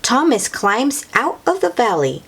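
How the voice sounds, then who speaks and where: Woman reading slowly to young children, lively pitch. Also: slightly rough. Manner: teacherly, repeats and emphasizes key words, exclaims and asks the child questions. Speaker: children's storybook read-aloud host. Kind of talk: read-aloud picture book for young children with asides to the listener.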